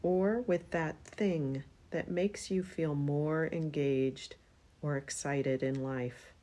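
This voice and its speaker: A woman guiding a relaxation meditation in a soothing, unhurried manner, leaving long pauses between phrases. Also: low voice, fairly even intonation, warm tone. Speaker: yoga nidra meditation guide.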